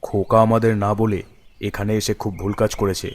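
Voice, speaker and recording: A man voicing a stern, uneasy middle-aged father in an animated ghost story, measured and reproachful. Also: lowish voice, long reverb tail, natural voice.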